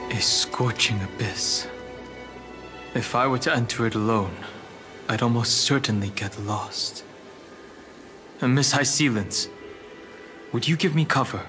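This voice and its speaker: A man voicing a stoic young dragon warrior in a video game, earnest and resolute, with a measured, grave delivery. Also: medium pitch, over music, natural voice. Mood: focused. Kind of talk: dramatic video game dialogue.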